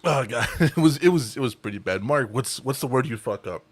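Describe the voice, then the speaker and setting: A man's voice: mid-range voice, lively intonation, a little fast, no echo. A man hosting a casual group podcast over a video call, rambling with restarts and swearing freely. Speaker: podcast host.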